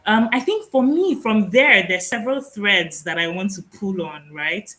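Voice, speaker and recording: Bright-voiced woman hosting a podcast. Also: medium pitch, normal speed. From Nigeria. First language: Igbo. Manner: reflective, animated, laughs often. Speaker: podcast host. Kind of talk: conversational interview.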